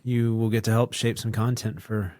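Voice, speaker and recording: A man's voice: lowish voice, little pitch movement, moderate pace, darkish tone, no room sound, studio quality. A man co-hosting a podcast in casual chat, chiming in with brief wry remarks. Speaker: podcast co-host.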